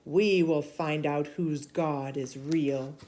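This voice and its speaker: Lady reading a bossy bold prophet guy, loud and emphatic, slow and low-ish. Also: fairly even intonation. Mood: commanding. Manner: emphatic, loud storybook delivery. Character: bold commanding male prophet.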